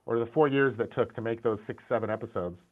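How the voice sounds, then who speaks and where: A man's voice: mid-range voice, quick pace. Candid middle-aged man on a tinny call. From Syrian, grew up in the US. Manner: candid, animated, frequent restarts and repeated words. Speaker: guest, concept art and animation director. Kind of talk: casual interview conversation. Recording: tinny phone-like call audio.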